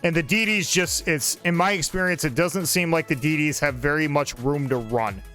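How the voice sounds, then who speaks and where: A fairly high-pitched man in his forties talks through a match in a loose, rambling way, now and then joking with a friend. Soft game music plays faintly behind him. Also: normal speed. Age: 40s. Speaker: gaming channel host. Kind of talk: casual gameplay commentary.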